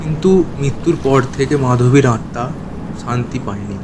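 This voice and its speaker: A man telling a scripted horror story as narrator, in a measured, dramatic manner. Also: animated. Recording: clear noisy ambient rumble behind narration.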